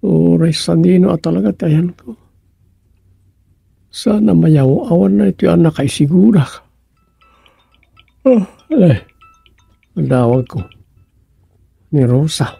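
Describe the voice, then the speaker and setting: A creaky, mellow-voiced Ilocano village man, hesitant and lovesick in a radio comedy-drama. He speaks slowly, with a husky edge. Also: a little high, no room sound, full character voice. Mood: wistful. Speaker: radio serial actor.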